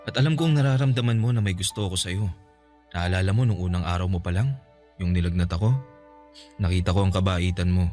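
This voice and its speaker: A man's voice: low voice, fast, warm tone, faint music behind, close to an everyday voice. An actor with a Filipino accent playing an earnest, protective young man smitten in a radio drama. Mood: earnest, lovestruck.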